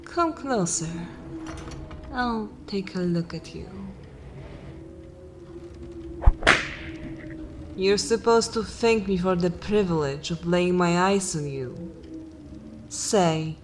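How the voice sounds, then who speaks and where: A haughty, ancient vampire mistress, played by a woman, speaks in a lowish female voice at a very slow, deliberate pace. She is cruel and commanding, with formal, scornful phrasing suited to dark fantasy ASMR. A faint bed of ambient noise sits behind her. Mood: amused, condescending, then stern, lofty. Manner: imperious, slow, disdainful, formal wording.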